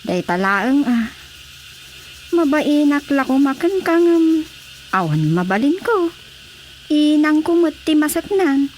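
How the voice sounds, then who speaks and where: High-voiced worried wife. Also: female voice, very animated, takes it slowly, long pauses, darkish tone, heavily acted. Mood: worried, pleading. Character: worried wife pleading with her husband. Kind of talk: Ilocano radio drama acting. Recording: faint background noise.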